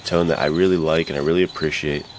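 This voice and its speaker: A man's voice: very deep voice, fairly even intonation, fast, noisy background. A man co-hosting a podcast, talking in an informal, rambling, conversational way. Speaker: podcast co-host. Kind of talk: casual podcast chat.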